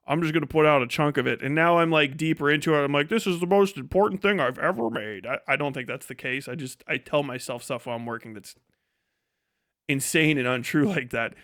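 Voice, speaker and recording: A man with a clear, slightly high voice, recorded close and dry. He rambles like a livestreamer talking off the cuff, sardonic and exasperated, with profanity all through his speech. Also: a little fast, a bit bright, dry recording. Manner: heavily profane. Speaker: livestreamer and video creator giving a work update. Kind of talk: casual rambling livestream chat.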